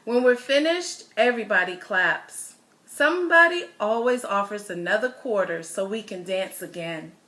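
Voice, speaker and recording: A woman reading a children's picture book aloud as a storyteller, with expressive, deliberate phrasing and stressed words. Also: medium pitch.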